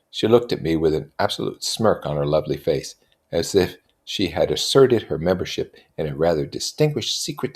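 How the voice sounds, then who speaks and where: A man reading a literary novel aloud as an expressive narrator and commentator. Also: a bass voice, slightly rough. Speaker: reader and commentator.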